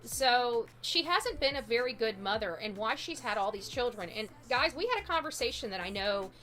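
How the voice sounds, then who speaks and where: Bright-voiced woman livestreamer, forceful and blunt. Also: a little high, expressive, moderate pace. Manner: blunt, emphatic, rambling, chatty. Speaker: livestream host. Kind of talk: opinionated true-crime live commentary, casual chat.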